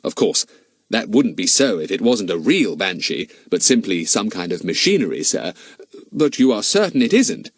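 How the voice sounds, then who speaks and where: Storybook role: male reader as smart schoolboy detective, lively intonation, courteous, innocently cheeky, talkative. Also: fairly low pitch, normal speed, slightly rough, no room sound, studio quality, full character voice. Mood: mock-innocent. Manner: polite, mock-innocent, talkative. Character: clever, cheeky, politely confident schoolboy sleuth.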